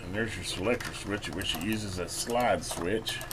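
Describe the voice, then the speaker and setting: A man's voice: low voice, unhurried. A man giving casual hands-on repair commentary as a video host, thinking aloud while he works. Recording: clearly noisy hobbyist video recording.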